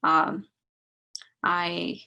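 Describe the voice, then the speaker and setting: A woman's voice: fairly low pitch, completely flat delivery, extremely slow, gravelly, pushed and bright, lo-fi sound. A woman from India who works as a librarian, introducing herself on an online panel over a video call, hesitant and full of restarts. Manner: hesitant, with many restarts and fillers.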